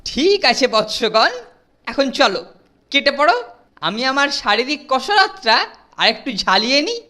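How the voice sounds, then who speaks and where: A boastful cartoon teenage boy, played by a woman lords it over his juniors. His high voice swoops up and down as he lectures in mock-grand tones. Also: sing-song, moderate pace, sharp tone, exaggerated voice. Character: boastful bossy teenage boy, self-important leader. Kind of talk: cartoon dub acting.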